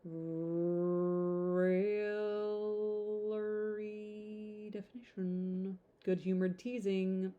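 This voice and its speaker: A woman reading a classic novel aloud in an informal, expressive way, stumbling over some words and adding chatty asides. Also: mid-range voice, very slow, narrow, tinny audio, soft background music.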